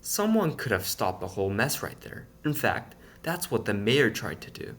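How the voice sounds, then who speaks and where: A man reading a children's picture book aloud in an expressive, playful storytelling manner. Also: medium pitch, normal speed.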